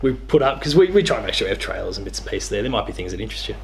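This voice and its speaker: A man's voice: on the low side, sing-song, racing, creaky. An Australian man in his thirties hosting a casual chat about video games. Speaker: host. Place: living room, indoors.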